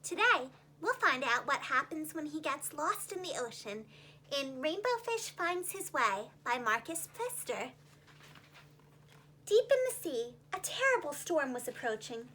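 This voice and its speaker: A woman telling a children's story in an animated, expressive teacher's style. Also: high voice, measured pace, slight background noise.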